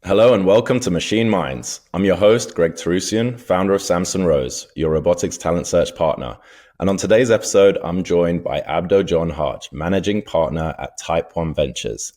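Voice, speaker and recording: A man hosting a podcast, opening with a scripted introduction before moving into relaxed interview questions. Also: sits low, normal speed. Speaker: podcast host.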